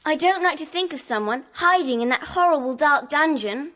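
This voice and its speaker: Earnest child, high and bright. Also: female voice, lively intonation, normal speed. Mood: uneasy. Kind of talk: children's audio drama acting.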